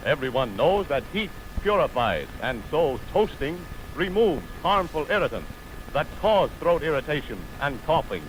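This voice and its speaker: 1930s radio announcer, male, American, higher-pitched, reading sponsor copy on a lightly noisy vintage aircheck. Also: fairly bright tone. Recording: noisy 1930 radio aircheck from optical film.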